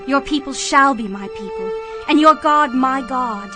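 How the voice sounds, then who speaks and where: Humble young widow, highish earnest voice, bible drama with music behind her. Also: unhurried. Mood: devoted pleading. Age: young adult. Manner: earnest, heartfelt. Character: devoted, humble young widowed foreign woman, gentle and earnest. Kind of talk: dramatized audio Bible acting. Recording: dramatic music bed under the voice.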